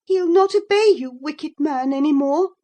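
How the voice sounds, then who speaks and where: A woman's voice: normal speed, dark tone, lightly acted. A woman reading aloud, voicing a reckless young woman who boldly taunts the master of the house. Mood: defiant. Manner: bold, high-pitched, taunting.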